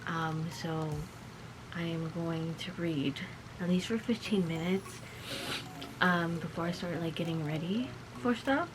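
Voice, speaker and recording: A woman's voice: fairly low pitch, a little slow, background noise. Chatty young woman with a cold. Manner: chatty, many fillers and little laughs; quiet and sleepy, just woken up. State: recovering from a cold. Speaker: vlogger. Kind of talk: casual vlog talk.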